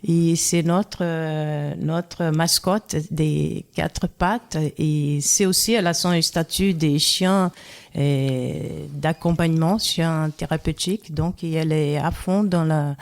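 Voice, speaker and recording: A Brazilian woman speaking French as a second language with a Brazilian accent, a radio guest who pauses and restarts to find her words. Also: low voice, steady tone, darkish tone. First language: Brazilian Portuguese. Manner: halting, searching for words, many restarts. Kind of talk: radio interview.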